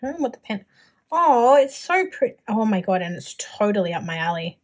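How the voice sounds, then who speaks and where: A woman's voice: medium pitch, sing-song, fairly quick. Chatty, gushing Australian woman. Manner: gushing, informal. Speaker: planner haul vlogger. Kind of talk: casual chatty product show-and-tell.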